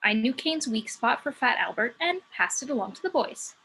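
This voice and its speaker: A woman's voice: on the high side, lively intonation, moderate pace, gravelly, pushed and bright, slight background noise, exaggerated voice, low-quality recording. A woman playing a cocky, sardonic muse who works as a stripper, in a script table read. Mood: boastful, wry. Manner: sardonic, brash. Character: sassy, cocky female muse working as a stripper.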